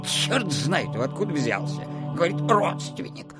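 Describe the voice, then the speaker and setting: Grumbly old miser landowner, high husky voice that swoops around, always complaining, audio play. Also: male voice, sing-song, measured pace, over music. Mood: scornful. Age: 60s. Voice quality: husky, throat-clearing old man's voice. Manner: querulous, complaining, rambling. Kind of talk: theatrical audio drama acting.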